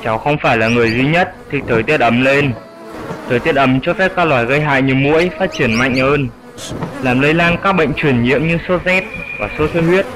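A man's voice: medium pitch, natural voice. Man voicing an old bat grandfather, noisy track. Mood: instructive. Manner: didactic, measured. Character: old grandfather flying fox explaining climate to youngster. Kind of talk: educational cartoon dialogue, scripted explanation.

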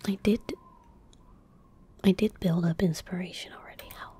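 A young woman softly whispering ASMR game commentary in a breathy, gentle, hushed voice, close to the microphone. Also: lowish voice, fairly even intonation, normal speed. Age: young adult. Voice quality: whispery, breathy, soft-spoken. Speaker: ASMR gaming streamer. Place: indoor room at a desk. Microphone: close desk microphone. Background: keyboard typing and mouse clicks.

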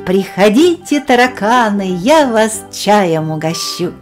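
A woman reading a children's poem aloud in a rhythmic, lively sing-song manner. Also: mid-range voice, takes it slowly, faint music behind. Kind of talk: expressive children's verse reading.

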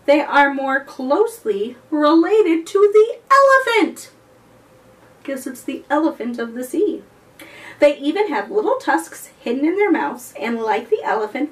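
An American woman leads a children's storytime at an unhurried, very slow pace. She reads expressively with a sing-song lilt. Her voice sounds a little distant from the microphone. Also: sits high. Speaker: children's librarian, storytime host. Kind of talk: children's storytime read-aloud and talk. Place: indoors, before a chalkboard backdrop. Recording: voice slightly distant from the mic.

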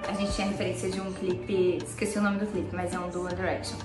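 A young Brazilian woman with a southern gaúcho accent, a guest talking in a relaxed, self-assured way. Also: fairly low pitch, fast talker, roomy sound, distant mic, over music. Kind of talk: casual interview chat. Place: indoor living room on a sofa. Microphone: handheld mic from a few feet.

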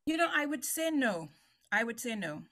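Bright-voiced Trinidadian woman, slow and emphatic. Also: fairly high pitch, very animated. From Trinidad and Tobago. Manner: slow, emphatic, repeats key phrases. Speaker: panel speaker. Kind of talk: webinar presentation. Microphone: video call.

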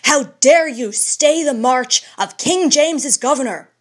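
A woman voicing a harsh, arrogant male royal governor who speaks in loud, harsh tones. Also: very high voice, expressive, unhurried, voice pushed up, heavily acted. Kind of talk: audiobook character reading.

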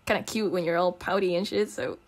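A woman's voice: lowish voice, fairly even intonation, a little fast. A young non-native English-speaking woman in a casual, intimate girlfriend ASMR roleplay, talking in a slangy, teasing, coaxing way with giggles. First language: not English. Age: young adult.

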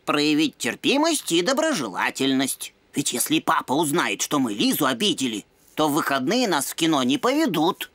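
A woman's voice: sits low, big pitch swings, normal speed, hardly any pauses, a bit bright, no room sound, exaggerated voice. A woman voicing a bookish, polite young boy dog in a cartoon, speaking in a pedantic, formal, wordy way. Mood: concerned.

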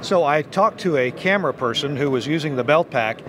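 Higher-pitched male interviewer, noisy show floor. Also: animated, a little fast. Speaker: interviewer and host. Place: trade show booth, indoors. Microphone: handheld microphone. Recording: clear busy trade-show floor noise.

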